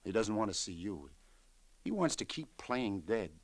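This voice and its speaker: An American actor playing a kindly, tactful police lieutenant in 1950s radio drama, speaking in a halting, reassuring way. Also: male voice, fairly low pitch, very animated, dry recording. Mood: gentle, regretful.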